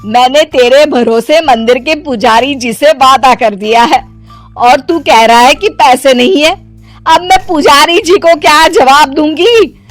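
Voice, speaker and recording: Woman voicing a shrill, nagging mother, high-pitched. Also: unhurried, soft background music. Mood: irritated, indignant. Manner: scolding, indignant. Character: demanding, irritable mother of a grown son. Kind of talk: animated moral story dialogue.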